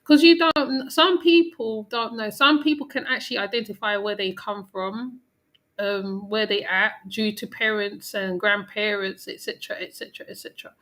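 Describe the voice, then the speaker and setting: A woman's voice: mid-range voice, steady tone, normal speed, fairly bright tone. A woman hosting a reaction video, talking in a chatty commentary style with frequent restarts. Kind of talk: casual commentary.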